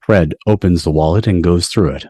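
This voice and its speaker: A man's voice: sits low, brisk, mellow, slightly rough, no echo, clean studio sound. A man reading scripted stage directions at a table read, recorded over a video call. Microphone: video call microphone.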